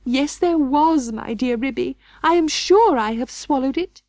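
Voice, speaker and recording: A woman voicing an excitable, anxious female dog in a storybook reading, flustered and melodramatic. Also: high voice, unhurried, no room sound, pitched above the performer's own voice. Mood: wailing, panicked. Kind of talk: audiobook storybook character voice.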